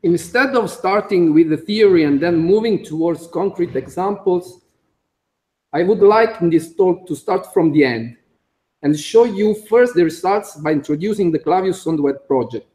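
A man's voice: high-pitched, animated, a little mellow. Italian man reading a conference talk. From Italy. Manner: careful, halting, with frequent "uh" fillers and small slips. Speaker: conference speaker, computer engineer. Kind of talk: conference talk read from notes. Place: conference room.

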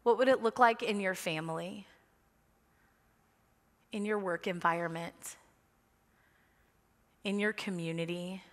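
A woman's voice: medium pitch, measured pace, long pauses, a bit bright. A woman, preaching a sermon from a church stage in an earnest manner with light humor. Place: large church sanctuary stage. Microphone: headset mic. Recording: long reverb of a large sanctuary.

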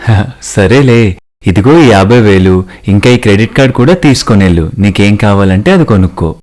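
A man's voice: on the low side, brisk, a little mellow, natural voice. A man playing a mild, agreeable young family man in a cartoon moral story, easygoing and polite.

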